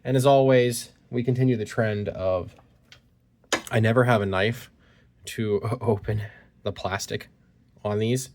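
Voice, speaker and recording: A man's voice: on the low side, steady tone, a little slow, long pauses, a little mellow, a little hiss or hum. A man talking casually while opening trading cards, slightly congested from a cold, reading card text aloud, recorded on a phone. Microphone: phone recording at point-of-view angle.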